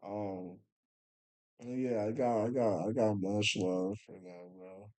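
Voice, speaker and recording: A man's voice: lowish voice, slow pace, darkish tone. A young man telling a rambling story in a laid-back, casual way, filling it with "bro" and "you know" and often trailing off into a mumble. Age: young adult. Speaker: vlogger.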